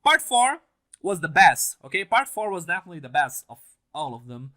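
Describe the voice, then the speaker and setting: A young man whose first language is Portuguese, with a slightly higher, keen and bright-edged voice. He chats casually to camera, swearing, laughing and restarting his sentences in his hype. The sound comes from a stand mic set a little back in a small home setup. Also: big pitch swings, brisk. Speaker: anime reaction channel host. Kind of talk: casual reaction commentary to camera. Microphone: mic on a stand, set a bit farther than usual.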